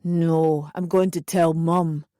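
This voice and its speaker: A woman's voice: deep voice, fairly even intonation, slow, mellow, no room sound, studio quality, voice pushed down. A woman voicing a drunk young man in an audiobook, slurring as he insists and pleads. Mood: slurred, insistent.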